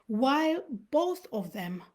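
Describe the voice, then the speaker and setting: A woman who was born in Uganda and raised in Sweden, hosting a live talk on self-awareness and telling personal stories in a reflective, unhurried way. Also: sits high, very animated. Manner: reflective, storytelling with pauses. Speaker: host.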